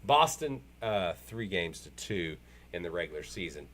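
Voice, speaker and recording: A man hosting a casual, unscripted sports talk show, rambling and halting as he repeats himself. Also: low-pitched, very animated, fairly bright tone, a little hiss or hum.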